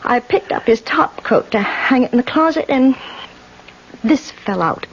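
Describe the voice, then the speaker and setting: An anxious, suspicious boarding house landlady in a vintage radio drama. Her voice sits fairly high and she is halting yet insistent. The recording is muffled, with a light hiss. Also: expressive. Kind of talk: old-time radio drama acting. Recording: muffled, hissy old radio broadcast recording.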